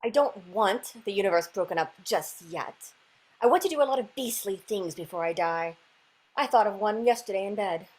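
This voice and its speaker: Flippant, self-indulgent anarchist played by a woman in a radio play reading, mid-pitched. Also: moderate pace, close to an everyday voice, low-quality recording. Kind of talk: radio play read aloud.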